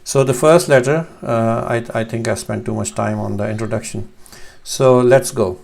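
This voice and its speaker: Man in his thirties, Urdu native speaker from Pakistan, gravelly voice, hesitant teacher at a webcam. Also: mid-range voice, steady tone, moderate pace, a little mellow, a little hiss or hum. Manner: hesitant, many fillers and restarts. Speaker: Arabic teacher. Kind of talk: screen-share lesson. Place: small room at home, indoors.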